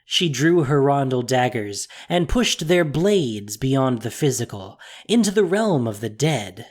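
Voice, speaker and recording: A man reading a fantasy story aloud in a measured, expressive way. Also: medium pitch. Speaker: narrator. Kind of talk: storybook narration.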